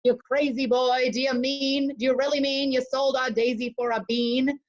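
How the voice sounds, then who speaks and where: Lady doing a bossy scolding mum in a bedtime tale, highish voice, sharp, slightly muffled lo-fi audio. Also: little pitch movement, normal speed, natural voice. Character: scolding, ill-tempered, greedy mother of Jack. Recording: dull lo-fi call audio.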